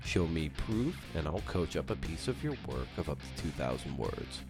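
Very deep-voiced man hosting a podcast. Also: very animated, moderate pace. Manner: playful, informal asides. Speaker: podcast host. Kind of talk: casual scripted podcast intro narration. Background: guitar music.

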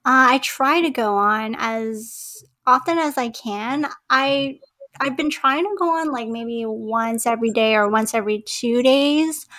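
A woman with a soft, girlish voice, speaking as a podcast guest in casual chat, hesitant with many fillers and restarts and frequent giggles. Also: fairly high pitch, unhurried. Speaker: podcast guest, web designer.

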